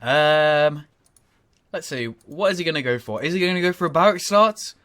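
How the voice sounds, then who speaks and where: A man with a cold commentating on a strategy game in a casual, rambling way, sounding nasal and often pausing to correct himself. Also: fairly high pitch, expressive, a bit bright, no echo, studio quality. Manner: rambling, with frequent ums and self-corrections.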